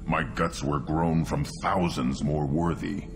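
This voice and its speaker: An actor voicing a hulking young tank-bred alien warrior in a video game, blunt and scornful, growling out terse, brooding fragments. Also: male voice, very deep voice, fairly even intonation, takes it slowly, gravelly, background noise, full character voice. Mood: brooding, contemptuous. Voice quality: guttural, growling. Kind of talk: video game dialogue acting.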